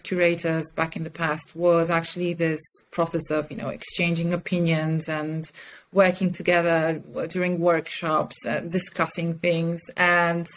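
Low-pitched, enthusiastic woman. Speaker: podcast guest, communications head and mentor. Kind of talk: conversational interview answers. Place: indoor room with curtains and plants, on a video call.

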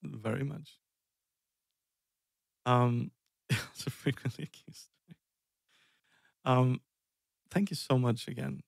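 A man's voice: medium pitch, slow, gravelly, long pauses, no room sound, studio quality. A man from Iceland in his thirties, chatting casually and rambling on a music live stream, self-deprecating and chuckling often. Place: dark room indoors. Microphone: headset.

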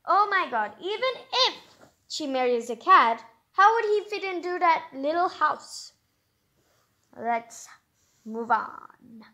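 Female read-aloud host, very high and lively, informal storybook reading with chatty commentary. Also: slow pace, long pauses.

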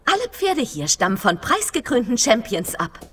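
A woman playing the composed, gracious head of a riding academy, speaking politely and with patient authority, as in an animated film. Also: a little high, normal speed, a little hiss or hum. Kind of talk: animated film dub acting.

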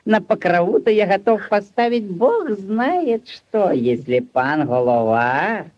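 An actor in a 1940s radio play, playing a short, stout, genial male distiller in a folksy, unhurried way. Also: medium pitch, expressive. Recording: muffled 1940s radio recording.

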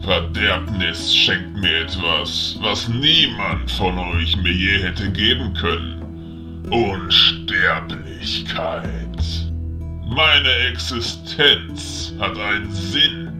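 A deep, crawling villain general. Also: male voice, animated, exaggerated voice. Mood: mocking, gloating. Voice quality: deep, breathy at times. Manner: slow, menacing, drawn-out. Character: diabolical, dominant undead-allied general, nihilistic, gloating. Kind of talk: fantasy audio drama acting. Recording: music behind the voice.

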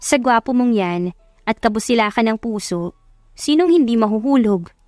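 A woman's voice: fairly high pitch, lively intonation, normal speed, darkish tone, no room sound, studio quality, close to an everyday voice. A woman voicing an affectionate, playful young wife in love, in a romance audiobook. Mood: playful, sweet.

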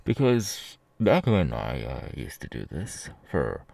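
A man plays a menacing ex-convict kidnapper in an ASMR roleplay, mocking his captive with a halting sneer. The voice is very deep and gravelly, drawn out slowly in a drawl. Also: wildly swooping pitch, darkish tone, no echo, exaggerated voice. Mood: smug, threatening.

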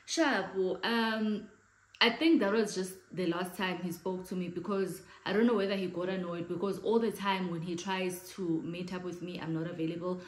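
A woman's voice: on the low side, moderate pace, reverberant, poor audio. A South African woman in her late thirties with a big voice, chatting casually to camera in her living room and gesturing as she talks. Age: late 30s. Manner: chatty, animated hand gestures, mixes in Xhosa phrases. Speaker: vlogger. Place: living room, indoors, in front of a sofa.

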